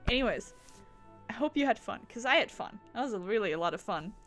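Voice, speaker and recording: A woman's voice: a little high, expressive, fast, fairly bright tone, soft background music. A woman streaming games, chatting casually in a rambling, giggly way that circles back on itself. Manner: rambling, giggly, repeats herself. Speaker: video game streamer. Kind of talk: casual livestream chat.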